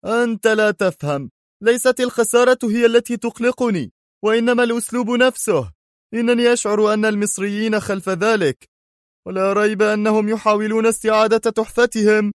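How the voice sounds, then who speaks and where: A shrill, furious collector who shouts every line in an explosive, high-strung way, as a male narrator puts him on. The voice leaps high above his usual pitch and stays loud. It is a dry, close audiobook reading. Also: a little fast, no echo, close to an everyday voice. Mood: angry, agitated. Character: furious excitable foreign male art collector.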